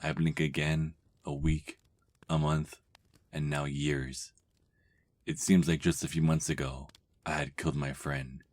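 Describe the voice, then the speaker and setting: A man playing a weary, brooding centuries-old vampire who reads his diary in a measured dramatic monologue for an ASMR roleplay. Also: rumbling low.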